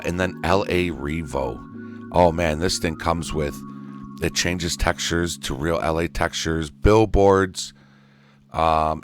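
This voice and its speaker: Male gaming tutorial host, low-pitched voice, folksy and casual, faint music underneath. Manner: folksy, addresses viewers as y'all and folks. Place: indoors at a gaming computer, voice over screen recording.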